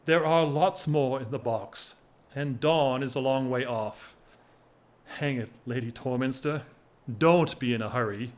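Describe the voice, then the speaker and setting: An actor playing a whimsical, lovesick English gentleman of forty-one in a period audio drama, wry, measured and self-mocking. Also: medium pitch, natural voice. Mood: wistful, restless.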